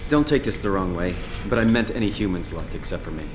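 A man's voice: sits low, expressive, quick pace, roomy sound, over music. A man acting a sardonic, despairing survivor trapped in a robotic diving suit for a video game. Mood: bitter.

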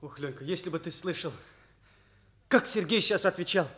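An actor playing an earnest, dreamy teenage schoolboy in a Soviet radio play, gushing and excitable. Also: male voice, a little high, brisk, close to an everyday voice. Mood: rapturous. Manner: gushing, eager.

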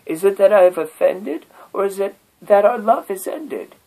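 A Scottish man voicing a lovesick male turtle who pleads in a high, sing-song voice. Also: expressive, moderate pace, creaky, darkish tone, full character voice, rough recording. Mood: desperate. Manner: imploring, sing-song, rising to a high wail.

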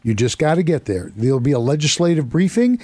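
A man hosting a talk radio show from a studio, his voice hoarse and worn as he loses it. He chats along casually, jokes at himself and rambles between the announcements. The sound is dry and close, with no room around it. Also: a little high, sing-song, fast talker, mellow, no echo. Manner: chatty, self-deprecating, rambling. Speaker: radio host. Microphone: studio microphone.

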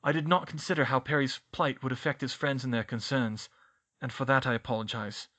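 A man voicing a calm, wise, old male headmaster wizard, speaking in a measured, formal way. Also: mid-range voice.

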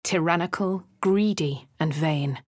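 Dry posh adventurer. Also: female voice, on the low side, darkish tone, no room sound. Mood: wry. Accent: posh English. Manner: dry, measured, lightly sardonic. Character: posh female adventurer, wry and composed. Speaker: player character. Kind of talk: game voice lines. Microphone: studio mic.

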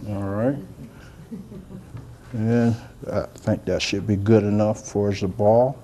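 A soft-spoken middle-aged chef talks through a recipe in a homey, rambling way. His voice sits low and mellow. The demo kitchen recording carries a faint hiss underneath. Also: fairly even intonation, slow. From Georgia, US. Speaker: chef and cooking show host. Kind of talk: unscripted cooking demonstration. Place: indoor demo kitchen set.

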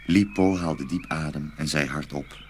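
A man narrating a dark fairy tale in a dramatic storytelling style. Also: very deep voice, a little slow, soft background music.

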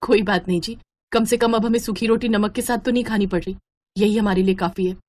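A woman voicing a poor, gentle, long-suffering mother in a storybook tale, earnest and humble. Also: medium pitch, fairly even intonation, a little fast, natural voice. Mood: consoling.

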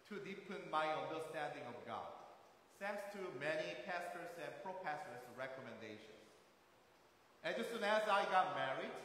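A man in his thirties with a Korean accent preaches as a guest pastor, speaking in a careful, measured way. His voice sits fairly high for a man. It rings through a large, reverberant church sanctuary, picked up from a distance with a faint hiss. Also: fairly bright tone. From South Korea. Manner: careful, measured delivery with occasional rephrasing. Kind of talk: sermon. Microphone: far camera at back of sanctuary.

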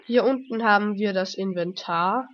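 A woman with a cold, commenting casually on a game she is playing. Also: mid-range voice. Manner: relaxed, slow, chatty. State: has a cold, coughing. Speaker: let's play host. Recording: dull lo-fi home mic with faint noise.

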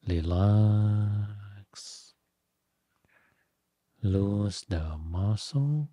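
A man leading a guided meditation as a teacher, giving gentle instructions in short phrases with long pauses. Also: lowish voice, dry recording. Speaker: Buddhist monk, meditation teacher.

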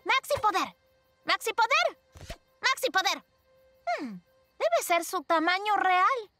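Very high, bright cartoon girl. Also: very animated, slow pace, soft background music, full character voice. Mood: cheerful. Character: cheerful, adventurous, kind little girl. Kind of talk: animated children's cartoon dialogue.

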